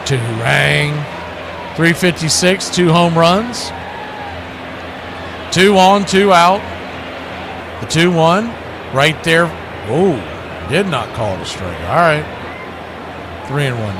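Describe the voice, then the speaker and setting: A man's voice: on the high side, background noise. A man doing live baseball play-by-play, mixing clipped calls with conversational analysis. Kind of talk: live baseball radio commentary.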